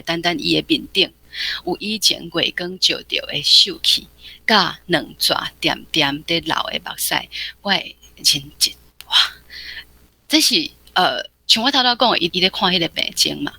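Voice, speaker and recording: A woman's voice: lowish voice, vocal fry, bright, forceful tone, breathy edge, no echo. A woman from Taiwan, a guest on a radio program, talking through her thoughts on a novel in a thoughtful, hesitant way. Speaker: guest literary commentator. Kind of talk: spoken literary commentary.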